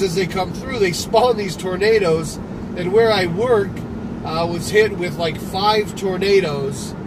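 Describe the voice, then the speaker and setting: A man from Michigan hosting a casual live stream while he drives, talking in a rambling, conversational way full of restarts. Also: high voice. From Michigan, USA. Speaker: livestream host. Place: inside a car, driving. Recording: noisy car recording with road noise.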